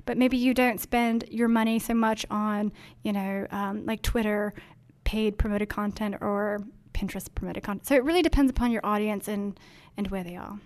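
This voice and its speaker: A woman's voice: medium pitch, fairly even intonation, normal speed. A woman from Denmark answering interview questions about marketing in a chatty, earnest way, with many ums and likes. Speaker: marketing executive.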